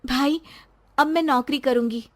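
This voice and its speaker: A woman's voice: high-pitched, unhurried, pitched above the performer's own voice. A woman voicing an earnest, determined young wife and mother in a story, polite and pleading. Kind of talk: acted story dialogue.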